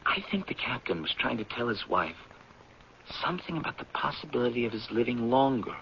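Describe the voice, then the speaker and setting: An American actor playing an eager, idealistic anthropology professor in a 1970s radio drama, with an earnest, persuasive delivery and a husky voice. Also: male voice, mid-range voice, very animated, a little hiss or hum. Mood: earnest, musing. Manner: earnest, persuasive, deliberate when reading aloud. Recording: dull, lo-fi vintage radio recording.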